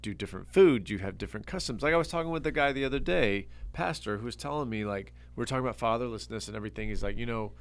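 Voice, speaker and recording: A middle-aged man co-hosting a podcast in casual chat, rambling with frequent restarts and getting animated when making a point. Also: mid-range voice, rapid-fire, hardly any pauses. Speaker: podcast host.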